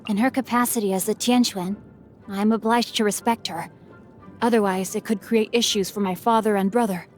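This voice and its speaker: A woman's voice: mid-range voice, steady tone, normal speed, darkish tone, lightly acted. A woman voicing a bookish, mischievous young noble boy in a video game, speaking in refined, formal phrases with a playful, teasing manner. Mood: thoughtful, polite. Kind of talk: scripted game character voicelines. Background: background music.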